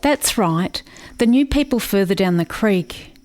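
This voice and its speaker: An actress in a radio play playing a grieving, watchful country sister, narrating in a reflective, measured way. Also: female voice, medium pitch, expressive, darkish tone, close to an everyday voice. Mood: wistful.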